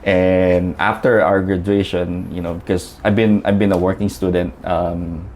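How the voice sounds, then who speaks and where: A man's voice: sits low, little pitch movement, measured pace, darkish tone, a little hiss or hum. A man from the Philippines, a guest telling his story in a chatty way, full of fillers and restarts. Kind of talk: casual storytelling interview.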